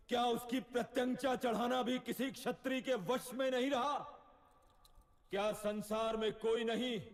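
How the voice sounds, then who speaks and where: A man playing a proud, sorrowful king and father who speaks in a grave, formal lament in a mythological cartoon. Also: extremely high pitch.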